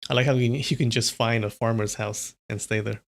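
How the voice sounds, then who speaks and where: A man's voice: medium pitch, fairly even intonation, fairly quick, gravelly. A young man from California reading a novel aloud on a livestream, chatting casually with viewers between passages.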